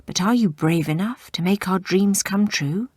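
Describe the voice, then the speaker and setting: A woman's voice: medium pitch, normal speed, darkish tone, breathy edge, no echo, clean studio sound, lightly acted. Ambitious, scheming noblewoman, read by a woman narrator. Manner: coaxing, scornful. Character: ambitious, goading noblewoman plotting with her husband.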